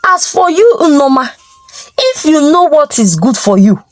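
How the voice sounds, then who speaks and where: A woman's voice: high voice, big pitch swings, moderate pace, no room sound. A woman with a Nigerian accent voicing an earnest village father in a folktale, solemn and imploring. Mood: stern. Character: earnest, pleading village father advising his daughter.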